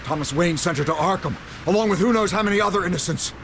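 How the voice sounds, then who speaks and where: An actor playing a corrupt middle-aged mayor in a video game, blustering, indignant and easily rattled. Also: male voice, high-pitched, fast talker, fairly bright tone, slight background noise. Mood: bitter, justifying. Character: corrupt, panicky middle-aged male city mayor, blustering and self-serving. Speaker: politician character. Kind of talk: dramatic video game acting.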